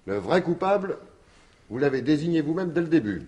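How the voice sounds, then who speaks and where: Husky, theatrical, insistent detective. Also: male voice, fairly high pitch, very animated, dark tone. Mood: insistent, certain. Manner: emphatic, theatrical, deliberate explaining with repeated insistence. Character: shrewd, insistent male detective laying out his theory. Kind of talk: French radio mystery drama in 1950s manner.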